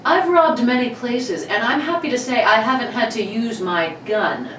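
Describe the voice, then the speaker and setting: Roomy distant recording, woman as cocky gentleman robber, high-pitched, mock-courteous menace, old-time radio play. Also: lively intonation, normal speed. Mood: smug politeness. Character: cocky, politely menacing male armed robber. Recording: roomy, distant mics with faint noise.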